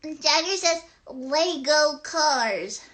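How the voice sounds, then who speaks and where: A woman voicing an excited, friendly little girl puppet who chats eagerly and haltingly in a children's livestream show. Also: sits high, exaggerated voice. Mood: cheerful, chatty.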